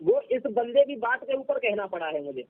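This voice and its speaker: A man with a very high, creaky voice chats informally over a thin phone line. He is deferential and often chimes in with agreement. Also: wildly swooping pitch, moderate pace, dry recording. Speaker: poet. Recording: narrow-band phone call recording.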